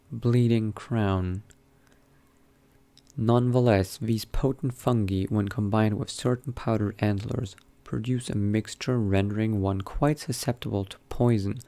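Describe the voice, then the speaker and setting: A man doing an in-character game roleplay, reading aloud in a measured, bookish way and muttering asides to himself. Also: on the low side, gravelly, warm tone. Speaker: roleplay gamer narrating in character.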